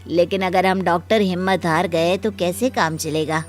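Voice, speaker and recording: A woman voicing a sympathetic, supportive male doctor in a cartoon moral story, speaking gently. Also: on the low side, fairly even intonation, moderate pace, faint music behind, lightly acted. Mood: sympathetic, resolute.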